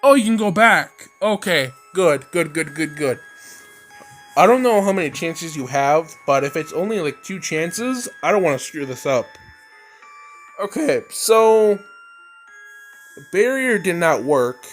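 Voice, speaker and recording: A man with a fairly high voice streams a story game. He reads the narration aloud and reacts in exclamatory, slangy asides. Music plays clearly behind his voice. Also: expressive, a little slow, a bit bright. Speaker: let's play streamer. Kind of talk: visual novel let's play with read-aloud narration and reactions. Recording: prominent background music.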